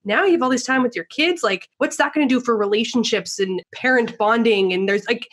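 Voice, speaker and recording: A woman's voice: a little high, fairly quick, fairly bright tone. A middle-aged woman from Canada, a podcast guest chatting in an upbeat, easy way and laughing often. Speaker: guest, Instagram consultant. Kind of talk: casual interview chat.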